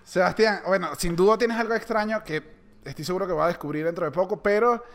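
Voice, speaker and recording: A man's voice: sits high, quick pace, heavy background noise. A young Venezuelan man co-hosting a podcast, teasing his partner and laughing often in casual chat. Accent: Venezuelan Spanish. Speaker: podcast co-host.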